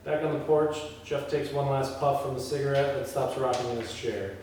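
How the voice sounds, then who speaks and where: A man reads stage directions aloud and breaks off into casual discussion. His voice sits at a middle pitch and comes from some distance in a very echoey room. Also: fairly even intonation, moderate pace, a little mellow. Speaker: filmmaker reading stage directions at a table read. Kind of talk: script read-aloud mixed with casual discussion. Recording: echoey room, distant mic, faint noise.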